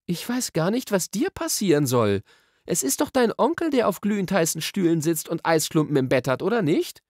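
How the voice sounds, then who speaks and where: Man putting on a high-pitched, scornful young girl. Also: big pitch swings, normal speed, darkish tone, dry recording, studio quality, full character voice. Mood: dismissive. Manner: indignant, mocking. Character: cool, scornful, sharp-tongued young girl.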